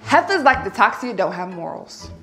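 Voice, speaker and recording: A woman's voice: medium pitch, big pitch swings, moderate pace, fairly bright tone, soft background music. A woman playing a bossy, confident young girlfriend with a sassy, commanding manner.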